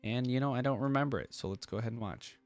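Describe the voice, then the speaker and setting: A man's voice: mid-range voice, fast, creaky, soft background music. A man hosting a reaction channel with casual, off-the-cuff commentary, informal and easily impressed.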